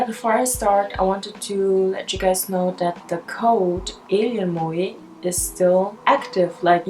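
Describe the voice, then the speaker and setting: A woman's voice: fairly low pitch, darkish tone, slight background noise, low-quality recording. A woman from Austria, a native German speaker, rambling casually in a craft vlog voice-over. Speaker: craft vlogger. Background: background music.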